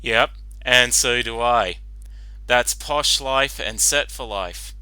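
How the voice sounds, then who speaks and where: A man gives casual reaction commentary. His voice is very bright and clear, and he rambles slowly with frequent stops. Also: medium pitch, no echo, low-quality recording. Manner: halting, rambling, repeats you know. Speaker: reaction commentator and fan-fiction author.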